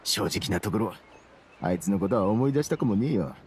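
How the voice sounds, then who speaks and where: A man playing an earnest, aggrieved caravan merchant in an anime game, with gruff colloquial wording and emphatic delivery. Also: on the low side, expressive, brisk, very noisy, close to an everyday voice. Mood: explaining. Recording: game music behind the voice.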